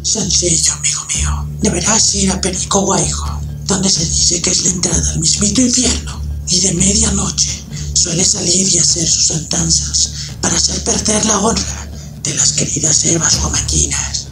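A man's voice: sits high, moderate pace, loud music behind the voice, low-quality recording. Conspiratorial half-whispering sly friend played by a man. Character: sly friend giving dark advice.

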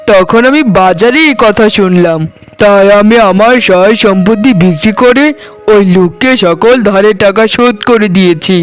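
A man playing a kind, dutiful young husband in a cartoon, speaking gently and earnestly. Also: sits high, clear, resonant tone, close to an everyday voice. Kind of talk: cartoon dialogue acting.

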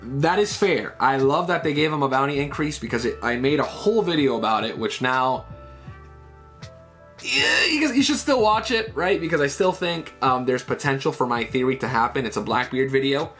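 A man in his thirties, chatting loosely through a comic chapter at a middle pitch. He fills his talk with "like" and "right?" tags. Faint music plays under his voice in a small room at home. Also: animated, normal speed, a bit bright. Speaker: manga reviewer. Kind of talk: casual breakdown of a comic chapter.

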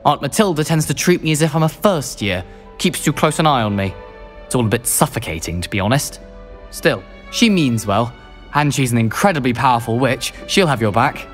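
A man's voice: medium pitch, animated, fairly quick, faint music behind, lightly acted. A young man playing a friendly, chatty teenage wizard with a British accent, speaking in clipped phrases. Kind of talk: scripted game dialogue.

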